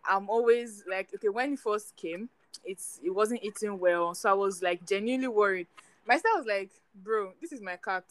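A woman's voice: mid-range voice, normal speed, dry recording, poor audio. A young woman from Nigeria co-hosting a podcast with a sweet, soothing voice, chatty and rambling with many fillers. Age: young adult. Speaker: podcast co-host. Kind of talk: casual chat.

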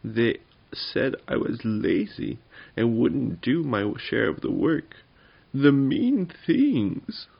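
A man's voice: mid-range voice, very animated, slow pace, creaky, husky, dull audio, pitched above the performer's own voice, exaggerated voice. A man voicing a timid, childlike little fat male goblin in a whining, plaintive way for a storybook. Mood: plaintive, aggrieved.

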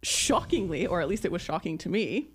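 A woman in her thirties from Canada, hosting a podcast in casual chat with many ums and pauses. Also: lowish voice, very animated, slightly rough. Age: 30s. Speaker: podcast host.

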